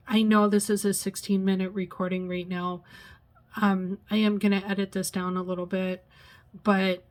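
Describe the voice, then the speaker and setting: A woman in her sixties sharing a personal vlog, pausing often and searching for words as she talks. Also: medium pitch. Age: 60s. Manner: halting, searches for words, many pauses and fillers. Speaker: vlogger.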